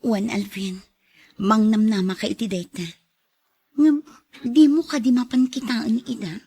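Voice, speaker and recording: A woman with an Ilocano accent playing a loyal, concerned confidante in an audio drama, with a husky voice. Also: fairly high pitch, fairly even intonation, unhurried, mellow. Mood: supportive. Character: loyal, concerned female confidante of a troubled man. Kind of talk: Ilocano radio drama acting.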